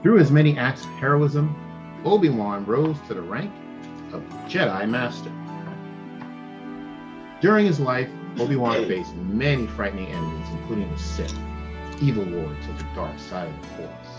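Middle aged guy reading kids a story, expressive, kinda lo-fi, music in the back. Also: medium pitch, normal speed. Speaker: host and storybook reader. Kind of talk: read-aloud storytime for children. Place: room at home, indoors. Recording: dull lo-fi sound, music behind.

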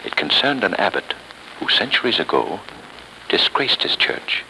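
An actor playing a confident, shrewd gentleman sleuth and secret avenger, measured and dramatic in 1930s radio style. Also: low-pitched, very animated, full character voice. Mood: calm explaining. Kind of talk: 1930s radio mystery acting. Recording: noisy 1938 radio recording.

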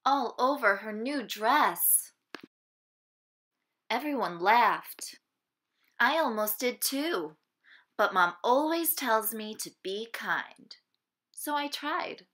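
A woman reading a children's picture book aloud in an expressive, deliberate way, phrasing it for young listeners. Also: high-pitched. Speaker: storybook reader.

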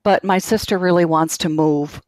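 A woman's voice: lowish voice, steady tone, normal speed, darkish tone, no room sound. A woman in her sixties with a Minnesota accent calling in to an advice show, plainspoken and a little anxious. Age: 60s. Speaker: caller. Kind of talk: phone-in conversation.

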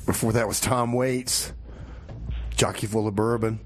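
A man's voice: lowish voice, steady tone, measured pace, a little hiss or hum. A man hosting a community radio music show, talking casually and laid-back, with some comedy banter.

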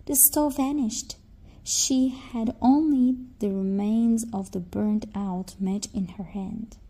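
Slow, mellow woman storyteller. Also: mid-range voice. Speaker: children's storyteller. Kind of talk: scripted fairy-tale narration for children.

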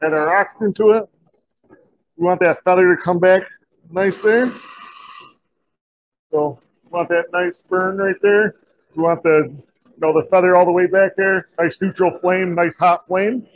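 A man in his forties, a shop teacher, talking through a torch welding demonstration in a relaxed, folksy way in an indoor metal shop. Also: high voice, unhurried, long pauses, highs cut off. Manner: relaxed, folksy, many "kinda" and "you know" fillers. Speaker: diesel technology teacher.

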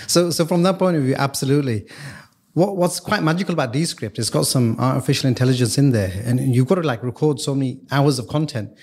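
Male podcast guest, mid-pitch voice, talks through business video strategy casually, stumbles and repeats words as he goes. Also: fast, a little mellow, husky. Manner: explanatory, many restarts and repeated words. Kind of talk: casual expert explanation.

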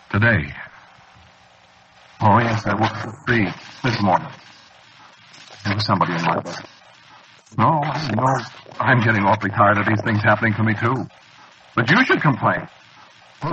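Muffled vintage radio drama, desperate paranoid man, halting, agitated, widely swinging pitch. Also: expressive, sharp tone, a little hiss or hum. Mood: brooding. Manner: halting, agitated, repeating himself. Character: haunted, desperate man losing his sanity, pleading and paranoid. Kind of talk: 1940s radio acting, confiding first-person narration.

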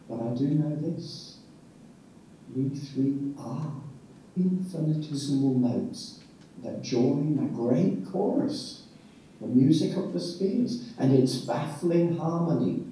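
Man reading verse slowly, dark, echoey. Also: mid-range voice, animated. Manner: slow, reflective recitation. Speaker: poet reading his own poem. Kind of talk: poetry reading. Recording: echoey, distant, faint noise.

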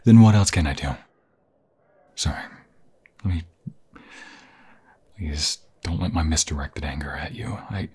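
A man playing an anxious, tender young vampire fiancé in an intimate ASMR roleplay, halting and emotional, often dropping into a breathy whisper. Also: low voice, a little mellow, exaggerated voice. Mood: tense, soothing.

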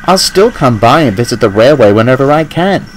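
A man's voice: mid-range voice, sing-song, fairly quick, slight background noise, natural voice, rough recording. A man voicing a kind, cheerful young woman who cleans engines, with an affectionate teasing manner. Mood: warm, teasing.

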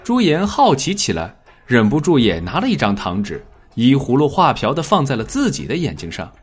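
A man narrating a scripted dramatic story in an expressive storytelling manner. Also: medium pitch, normal speed, breathy edge. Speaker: audiobook narrator.

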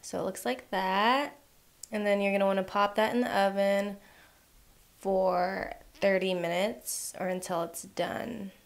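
A woman's voice: mid-range voice, measured pace, gravelly. Casual young woman talking through a recipe. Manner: relaxed, filler-heavy instructions with frequent "um" and "like". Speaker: cooking channel host.

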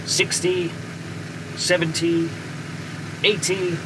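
An actor playing a friendly, assured wartime bomber pilot with a British accent, brisk and reassuring, in a radio drama. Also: male voice, sits high, level tone. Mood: brisk, businesslike. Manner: brisk, reassuring, polite officer. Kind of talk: period radio drama acting. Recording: noisy aircraft engine background.

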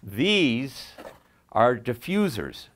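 A man's voice: mid-range voice, sing-song, drawn-out words, a bit bright. An older man giving a technical demonstration as an audio engineer, explaining emphatically and proudly. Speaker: audio engineer presenting his design. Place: small acoustically treated home theater room.

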